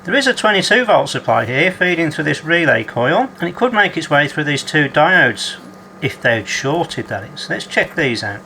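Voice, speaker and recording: Bright-voiced man narrating a repair. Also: fairly high pitch, slight background noise. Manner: methodical, reads out measurements as he works. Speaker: repair video host. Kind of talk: calm narrated workshop repair. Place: home workshop.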